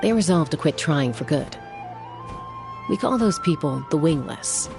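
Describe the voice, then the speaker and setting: A composed female warrior in a video game. She explains things in a measured, matter-of-fact manner, protective yet frank. Faint music runs underneath. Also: low-pitched, animated, creaky, exaggerated voice. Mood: sober, explaining. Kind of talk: video game dialogue acting.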